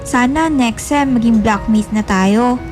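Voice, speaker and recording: A woman's voice: a little high, measured pace, lightly acted. A woman acting a lovesick young college girl in a radio drama, clingy, whiny and insistent. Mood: eager, needy. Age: young adult. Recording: music bed under the voice.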